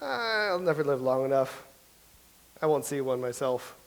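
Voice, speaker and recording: A man's voice: mid-range voice, expressive, a little slow. A man acting in a staged comedy reading as a grumpy, envious, combative playwright from centuries past. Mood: baffled, gloomy.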